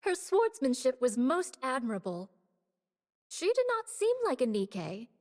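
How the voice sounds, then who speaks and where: A woman's voice: sits high, very animated, measured pace, a little mellow, in a large hall. An actress playing a noble woman warrior who speaks in old-fashioned, courtly language.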